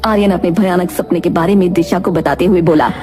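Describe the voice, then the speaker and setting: A woman's voice: fairly low pitch, steady tone, brisk. A woman reading a horror story as a dramatic scripted narrator, with music behind her. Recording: very loud music under the voice.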